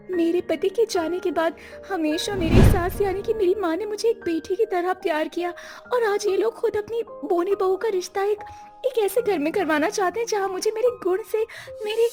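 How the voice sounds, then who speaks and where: A woman voicing a young, short-statured daughter-in-law in a moral story, earnest, emphatic and dignified. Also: very high-pitched. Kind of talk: animated moral-story dialogue. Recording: music behind the voice.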